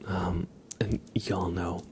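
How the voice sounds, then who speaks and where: A man hosting an ASMR livestream, chatting to viewers gently in a soft, breathy whisper that slips into a murmur. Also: steady tone, slow pace, a little hiss or hum. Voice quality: breathy whisper mixed with low, creaky murmur.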